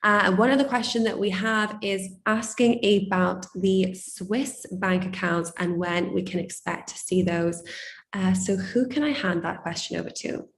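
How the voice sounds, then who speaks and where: A woman moderating an online community call, upbeat and enthusiastic, her voice slightly croaky. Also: medium pitch, normal speed, echoey room.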